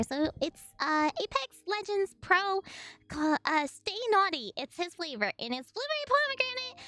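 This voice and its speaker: A woman's voice: very high voice, fairly bright tone, pitched above the performer's own voice, exaggerated voice. A woman streamer putting on a cutesy baby voice while chatting with her live stream audience and reading chat aloud. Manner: chatty, reads chat aloud, playful sing-song noises.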